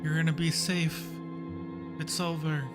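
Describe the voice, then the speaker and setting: Man chatting playfully over loud music. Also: a little high, little pitch movement, a little slow. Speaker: streamer host. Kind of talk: casual livestream movie commentary.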